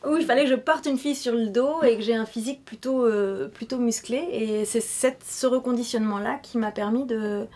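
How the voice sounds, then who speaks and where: A woman in her thirties from Paris, a showgirl and actress, talking casually in an interview and pausing often with filler sounds and restarts. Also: a little high, a little mellow. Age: 30s.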